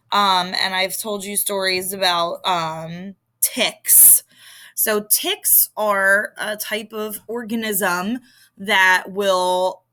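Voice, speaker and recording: A woman teacher with a Philadelphia accent explains vocabulary in an informal recorded lesson. Her voice is bright and forward, and she takes her time, addressing students in a conversational way. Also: medium pitch. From Philadelphia area, United States.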